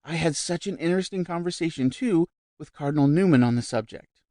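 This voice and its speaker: A man voicing a vivacious, shrill middle-aged society woman who gushes about spirits, raised high and loud above his own voice. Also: expressive, normal speed, dry recording, studio quality. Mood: gushing.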